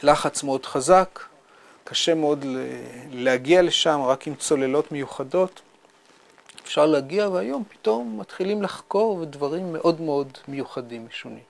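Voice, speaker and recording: Interviewee: man, fifties, scientist explaining, measured delivery, gravelly creak, slightly high register. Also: lively intonation. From Israel. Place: small indoor room with bookshelves.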